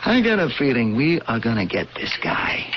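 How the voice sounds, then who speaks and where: An actor playing a folksy, dogged police lieutenant in an old-time radio crime drama, slangy and emphatic. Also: male voice, medium pitch, big pitch swings, normal speed, natural voice. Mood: gruff, confiding. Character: folksy, hard-boiled police lieutenant, world-weary and dogged. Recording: muffled, hissy old radio recording.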